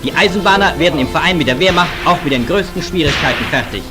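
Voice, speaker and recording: Old forties newsreel announcer guy, kinda high voice, formal and declamatory, loud music under him. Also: lively intonation, fast. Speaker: newsreel narrator. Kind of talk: 1940s German wartime newsreel narration. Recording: 1940s newsreel soundtrack, loud music behind.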